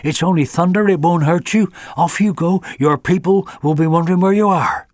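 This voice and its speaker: A man voicing a brisk, stern adult male fox who scolds in a fatherly way. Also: high-pitched, dry recording, clean studio sound, voice pushed up, natural voice. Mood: soothing.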